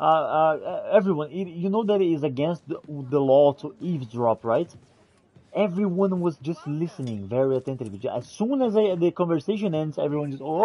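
A man streaming a game with casual in-character roleplay commentary, chatty and theatrical with a halting delivery. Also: on the high side, dark tone, slightly rough, dull audio.

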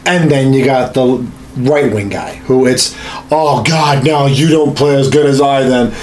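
A man's voice: medium pitch, a little slow, darkish tone. A man in his thirties talking casually and emphatically about games, swearing and repeating himself as he goes. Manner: profane, emphatic, repetitive. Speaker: podcast host. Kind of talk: casual gaming chat. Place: small home studio room, indoors.

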